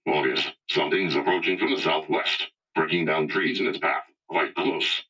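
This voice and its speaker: Very deep robot servant. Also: male voice, fairly even intonation, moderate pace, bright, forceful tone, dull audio, heavily acted. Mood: impassive. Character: obedient robot servant in a 1950s science-fiction radio spoof. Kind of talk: retro radio drama parody acting.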